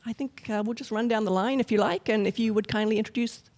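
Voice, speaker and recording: A woman from New Zealand moderating a panel at an arts venue, chatty and relaxed, with fillers, into a recording mic. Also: on the high side, fast. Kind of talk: hosting a panel event.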